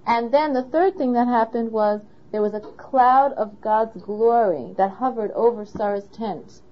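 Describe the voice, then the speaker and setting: A woman's voice: on the high side, a little slow. Woman, Torah teacher, patient questioning delivery, dull recording. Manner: asks the listener questions, patient. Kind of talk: explaining a Torah lesson.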